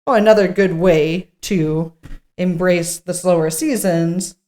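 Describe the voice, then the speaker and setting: A woman's voice: fairly low pitch, a little slow, darkish tone. A woman co-hosting a podcast, chatting casually through a script read-through in a blunt way, swearing freely. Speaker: podcast co-host.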